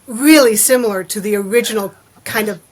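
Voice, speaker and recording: A woman's voice: medium pitch, a little fast. A woman chatting as an author guest in a casual interview, in a thoughtful, slightly hesitant manner.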